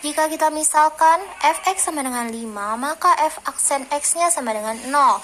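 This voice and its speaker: A young woman, a university student, presenting a calculus lesson by reading each formula aloud in a steady, step-by-step way. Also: high-pitched, moderate pace, slight background noise. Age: young adult. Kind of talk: reading a math explanation.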